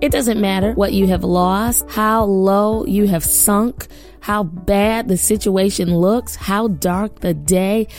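Hoarse woman preaching a devotional podcast. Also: medium pitch, fairly even intonation, slow, faint music behind. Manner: warm fervent preaching and prayer. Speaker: podcast host and minister. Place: small studio.

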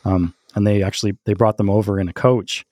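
A man co-hosting a podcast, telling music anecdotes in a halting, casual way with frequent chuckles. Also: fairly low pitch, steady tone, mellow, dry recording, clean studio sound. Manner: halting, chuckles often while talking. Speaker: podcast co-host.

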